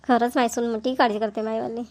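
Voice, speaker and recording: A woman's voice: on the high side, level tone, quick pace, darkish tone, close to an everyday voice. A woman voicing a tired, middle-aged village mother-in-law in a family cartoon, with a homely Varhadi Marathi accent. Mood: weary, fond. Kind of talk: cartoon family comedy voice acting.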